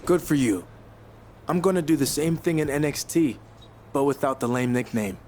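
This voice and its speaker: A man's voice: on the high side, animated, normal speed, a little mellow, close to an everyday voice. A man playing a confident, blunt, self-focused young wrestler in a video game, matter-of-fact in delivery. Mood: cocky, firm. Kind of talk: video game cutscene dialogue. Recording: faint background noise.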